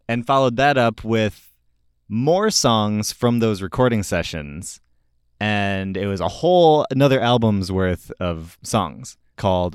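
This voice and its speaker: Man, music show host, informal, enthusiastic, opinionated, smooth clear voice, close dry sound. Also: medium pitch, no room sound. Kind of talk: casual album recommendation talk.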